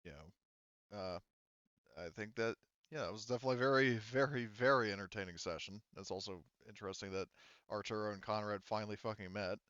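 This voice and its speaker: A man serves as game master with a smooth, clear voice that sits a little low. He moderates the tabletop session casually, pausing to check in with players. The recording is dry and slightly dull. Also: fairly bright tone.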